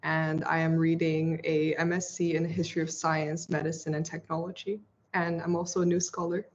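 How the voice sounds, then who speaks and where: A woman's voice: low-pitched, level tone, moderate pace. A young woman from China introducing herself carefully as a graduate student over a video call. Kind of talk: brief self-introduction. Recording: dull call audio with faint noise.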